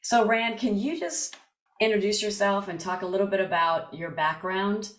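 A woman's voice: medium pitch, moderate pace, fairly bright tone, reverberant. A woman hosting a podcast, giving an enthusiastic scripted intro and then chatting casually with her guest. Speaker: podcast host. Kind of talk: casual interview chat.

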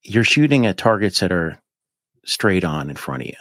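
A man in his fifties with a fairly low voice, talking as a podcast guest. He rambles, jumps between thoughts and fills gaps with "like" and "you know." The sound is dry and close, with little room behind it. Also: moderate pace, dry recording. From United States. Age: 50s. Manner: rambling, animated, with many fillers. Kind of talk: casual chat.

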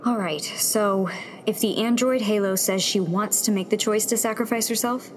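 A woman's voice: mid-range voice, moderate pace, natural voice. A woman playing a hard-headed, pragmatic rebel fighter in a sci-fi audio drama, with a firm way of talking. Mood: pressing, heated. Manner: firm, argumentative, pressing with questions. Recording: clear music bed behind.

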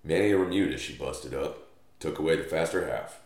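A man's voice: extremely low pitch, a little fast, darkish tone. A man voicing a rugged, reassuring cowboy of the Old West who talks in folksy phrases, in a storybook reading. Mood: reflective. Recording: roomy, echoey room sound.

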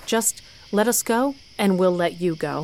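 A woman playing a determined mother who narrates her own adventure in a scripted audio drama, measured but with dramatic emphasis. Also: mid-range voice, a little hiss or hum, lightly acted. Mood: tense, determined. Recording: loud music bed under voice. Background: music.

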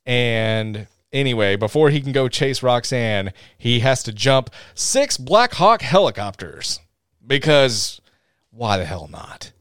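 A man's voice: medium pitch, very animated, slow, fairly bright tone, smooth, no echo, studio quality. A man co-hosting a podcast, chatting casually about a movie, sarcastic and jokey, often acting out lines from it. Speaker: podcast co-host. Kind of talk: casual movie review chat.

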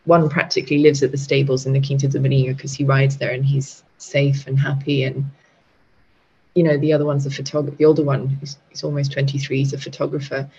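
A woman's voice: a bass voice, level tone, fairly quick, a little mellow, slightly rough. A middle-aged woman, a guest muralist, chatting casually in an interview, laughing easily and restarting her sentences. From Portugal and Britain. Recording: dull lo-fi sound.

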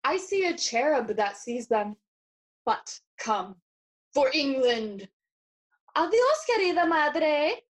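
Sardonic young prince, acted by a woman, high-pitched, unhurried, riddling, theatrical classical delivery. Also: very animated, exaggerated voice. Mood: wry defiance. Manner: deliberate, taunting, theatrical. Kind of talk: classical theatre acting in audio play.

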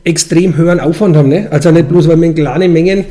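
Fast-talking Franconian man, mellow. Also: on the high side. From Franconian Switzerland, Bavaria, Germany. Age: 40s. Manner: colloquial dialect speech. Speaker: brewmaster and brewery owner, interviewee. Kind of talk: casual interview, explaining.

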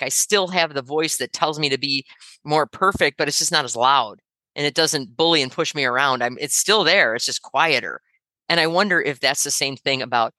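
A woman's voice: low voice, pushed and bright, dry recording. A middle-aged woman, a yoga teacher co-hosting a podcast, speaking in an encouraging and thoughtful way with frequent fillers. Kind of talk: casual podcast chat.

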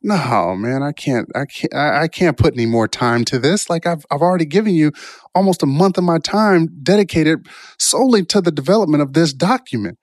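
A man's voice: a little high, animated, fairly quick, no echo, studio quality. A man speaking informally in a self-help style, reflective and rambling through personal stories, often checking in with a questioning "right?". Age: adult, past college years. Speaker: motivational speaker.

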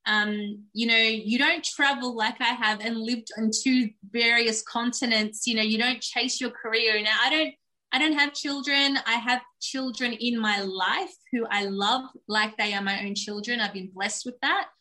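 A woman with Turkish as her recounts her own story as a guest. Her voice sits a little high and rings bright and forceful. She talks in an earnest, candid, reflective way. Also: measured pace, poor audio. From Australia. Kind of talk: candid personal storytelling in a podcast interview.

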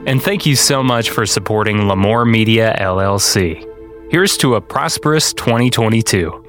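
A man reading a children's picture book aloud in a deliberate, dramatic storytelling style. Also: lowish voice, a bit bright, faint music behind. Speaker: storyteller and podcast host.

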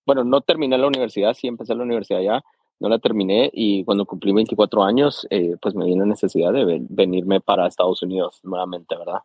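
Guy in his 30s, husky voice, hesitant, dry close sound. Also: lowish voice, steady tone, quick pace, no echo, lo-fi sound. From Guatemala. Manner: hesitant, keeps adding "¿verdad?". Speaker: guest. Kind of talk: casual interview.

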